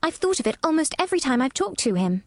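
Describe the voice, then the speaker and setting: A woman's voice: high-pitched, big pitch swings, quick pace, no echo, studio quality, voice pushed up. A woman voicing an eager, earnest young English girl in a storybook, with proper, careful child's diction. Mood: eager, planning.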